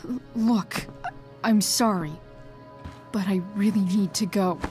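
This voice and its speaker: Rebellious teen girl for an actress, video game drama: slow, halting, guarded, slangy delivery over background music. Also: medium pitch, fairly even intonation, close to an everyday voice. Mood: wary. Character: guarded, sarcastic rebellious teenage girl, high school dropout. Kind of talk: naturalistic video game voice acting.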